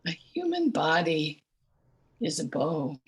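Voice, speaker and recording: A woman with a raspy voice reading a poem aloud slowly and softly over a video call. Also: sits low, very animated. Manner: soft, slow, deliberate pauses. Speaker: poetry reader.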